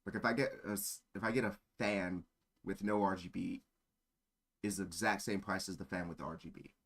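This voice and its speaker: A man's voice: low-pitched, fairly even intonation, a little fast, a bit bright. A man streaming casual chat in a rambling, easily sidetracked way. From born in Germany. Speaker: live streamer.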